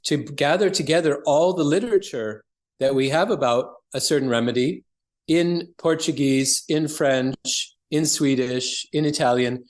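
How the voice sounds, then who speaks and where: A man speaking as an interview guest, explaining things conversationally and earnestly as a practitioner. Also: on the high side.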